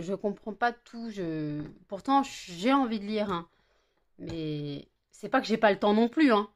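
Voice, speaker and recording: A woman, chatting casually in a home vlog, tired and a bit stuffy with a cold, rambling with many fillers and self-corrections. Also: mid-range voice. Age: 30s. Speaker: vlogger.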